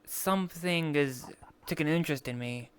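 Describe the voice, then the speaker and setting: A roleplay player talking in character in an online game, halting and rambling as they explain things. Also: medium pitch.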